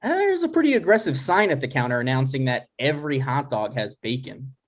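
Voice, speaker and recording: A man plays an exasperated grown son in his thirties, defensive and a little scheming. His pitch sits in the middle and swings around a lot as he argues through a comedy script. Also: sing-song, a little fast. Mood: baffled. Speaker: writer and actor. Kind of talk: live comedy table read.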